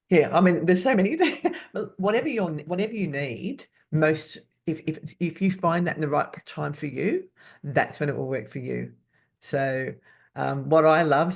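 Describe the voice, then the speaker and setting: A woman in her fifties, a podcast guest, speaking candidly and haltingly, restarting words and laughing often. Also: rumbling low, creaky, darkish tone. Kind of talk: candid personal interview.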